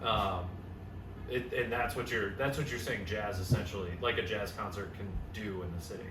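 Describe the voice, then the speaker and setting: Male podcast host, mid pitch, dry joking asides, echoey room with audible noise. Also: steady tone, moderate pace. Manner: dry joking interjections, short backchannels. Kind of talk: casual chat. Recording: echoey room, distant mic, noticeable noise.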